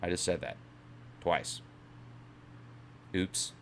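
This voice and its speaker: A man's voice: deep voice, lively intonation, drawn-out words, creaky. A man hosting an online channel, reading a book aloud with dramatic emphasis and breaking off for casual asides. He sometimes stumbles over words and corrects himself.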